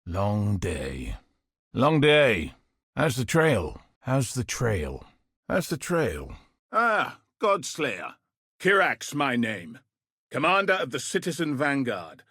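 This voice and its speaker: An actor playing a weary, bitter but steadfast guard commander in a video game, speaking gravely and earnestly with a husky, raspy voice. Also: male voice, mid-range voice, huge pitch swings, slow, a bit bright, dry recording, clean studio sound, full character voice. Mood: gruff greeting. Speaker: game character voice. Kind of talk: dramatic video game voice acting.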